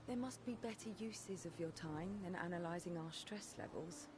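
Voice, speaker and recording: An actress playing a skeptical, sardonic woman in a video game cutscene, deliberate and dryly challenging. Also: fairly low pitch, background noise, natural voice. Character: cool, skeptical, sardonic female teammate. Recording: music behind the voice.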